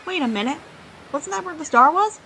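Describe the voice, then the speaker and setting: A woman's voice: sits high, animated, a little fast, bright, forceful tone, exaggerated voice. A woman playing a feisty, impatient young female animal companion in an audio drama. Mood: alert.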